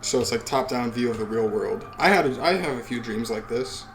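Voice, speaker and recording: A man with a mid-pitched voice reads a visual novel aloud. He breaks off into casual, joking asides with swearing. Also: animated, a little fast, a little hiss or hum. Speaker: let's play host.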